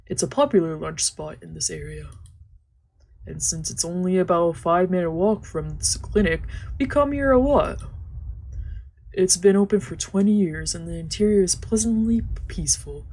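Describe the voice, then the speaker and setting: A woman's voice: lowish voice, normal speed, warm tone, low-quality recording. A woman streaming a visual novel, reading its narration aloud and adding casual commentary. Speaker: let's play streamer. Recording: music behind the voice. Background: game music.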